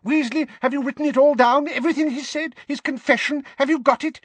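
A man voicing a pompous, excitable middle-aged government minister from a storybook, blustering and spluttering with emphasis. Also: on the high side, slow pace, no echo, pitched above the performer's own voice, heavily acted. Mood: gleeful, excited.

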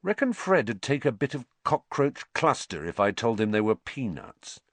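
A man voicing a cheeky, excitable teenage boy wizard with a raised, boyish voice. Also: medium pitch, normal speed, dry recording. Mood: excited.

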